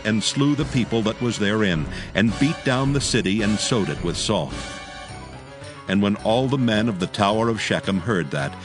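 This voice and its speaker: A man narrating scripture from a script, in a solemn, measured storytelling manner. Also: on the low side.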